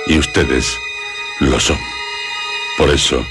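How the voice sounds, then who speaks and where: A man with a Castilian Spanish accent hosting horror radio theatre, solemn and suspenseful, pausing between short phrases. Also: rumbling low, fairly even intonation. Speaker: radio drama host. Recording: loud music behind voice. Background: loud music.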